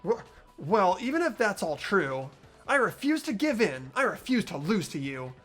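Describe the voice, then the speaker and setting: A woman's voice: medium pitch, very animated, moderate pace, fairly bright tone, soft background music. A woman voicing a defiant, stubborn young student hero in a fan-dubbed video game, earnest and indignant.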